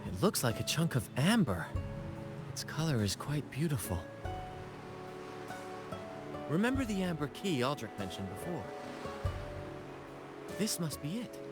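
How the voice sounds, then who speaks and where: A man's voice: fairly high pitch, big pitch swings, moderate pace, long pauses. An actor playing a confident young seafaring showman captain in a video game, with a theatrical, playfully poetic manner. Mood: intrigued. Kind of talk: video game character dialogue. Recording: loud music behind the voice.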